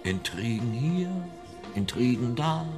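A man's voice: medium pitch, animated, takes it slowly, over music. An actor playing an evasive tailor turned informant in a German radio play, telling his story in a hesitant, ingratiating, roundabout way. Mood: eager storytelling.

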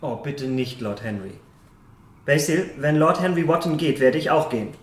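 Schauspieler als launischer, unschuldiger junger Gentleman. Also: a little high, expressive, normal speed, close to an everyday voice. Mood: pleading, insistent. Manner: earnest, capricious. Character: beautiful, innocent, impressionable, petulant young gentleman. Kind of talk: German radio play acting.

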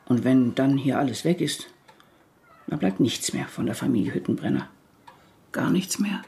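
A woman's voice: very deep voice, lively intonation, moderate pace, vocal fry, warm tone, heavily acted. A woman playing a doubtful, wistful older wife, resigned and mildly reproachful, in a radio drama. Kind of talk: naturalistic German radio drama.

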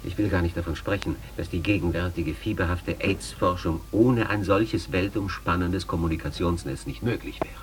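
A man's voice: deep voice, normal speed, hardly any pauses, a little hiss or hum. A man giving a formal expert lecture with carefully built sentences.

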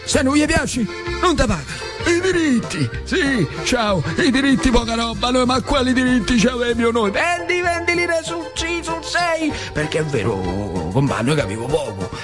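A man's voice: very high voice, expressive, moderate pace, over music, pitched above the performer's own voice. A man performing an exasperated, worn-down factory worker in a stage monologue, with a central Italian accent and insistent questioning. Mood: indignant. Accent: central Italian, Lazio. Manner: insistent rhetorical questioning, dialect-heavy.

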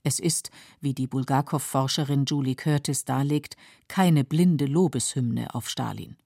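A woman reading scripted biographical narration in a measured, matter-of-fact way, as a documentary narrator. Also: very deep voice, creaky, dark tone, husky, no echo, studio quality. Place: dry studio.

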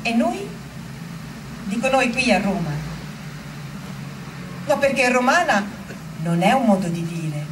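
A woman telling a story theatrically, in deliberate, emphatic phrases. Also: on the high side, big pitch swings, echoey room, noisy background. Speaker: stage actor and narrator. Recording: distant microphone under loud music.

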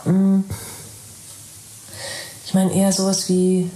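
Actress as mellow, pensive woman, roomy sound. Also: medium pitch, completely flat delivery, slow, close to an everyday voice. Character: pensive, hesitant woman in private conversation. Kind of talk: naturalistic radio drama. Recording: roomy echo with faint noise.

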